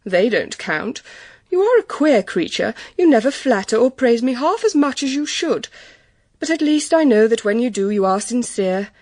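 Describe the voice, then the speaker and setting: Spoiled young English society belle, period audio drama: high voice, teasing, imperious, affected, dry sound. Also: female voice, big pitch swings, normal speed, a little mellow, no room sound. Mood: coaxing, vain. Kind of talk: period radio drama acting.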